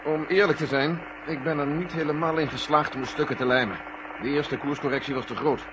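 An actor playing a blunt, candid older male general in charge of a space mission in a 1970 radio drama, speaking deliberately. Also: on the high side, fairly even intonation, close to an everyday voice. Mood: tense, candid. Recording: dull, noisy old radio recording.

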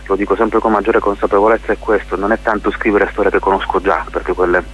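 A man's voice: fairly low pitch, little pitch movement, a bit bright, slightly rough. A man being interviewed on radio as a playwright, speaking reflectively and often searching for his words. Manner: thoughtful, halting, searching for words. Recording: muffled radio interview with hum and hiss.

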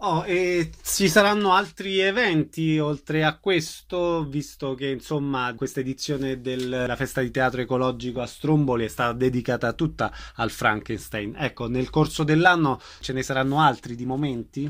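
A man's voice: medium pitch, normal speed, few pauses, fairly bright tone. A man hosting an informal radio interview, asking questions and recapping details for listeners.

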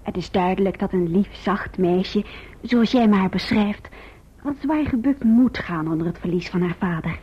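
An actress playing a gentle, sensible young woman in a radio drama, soothing and measured. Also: mid-range voice, slightly rough. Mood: gentle, reassuring. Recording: dull old radio recording.

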